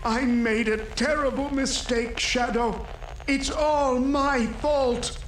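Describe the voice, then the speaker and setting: A man playing a grave old scientist in a video game, slow, solemn and earnest as he pleads. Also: very high voice, steady tone, fairly bright tone, exaggerated voice. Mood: grave, remorseful. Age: older. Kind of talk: video game cutscene acting. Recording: echoey, noisy playback of a message.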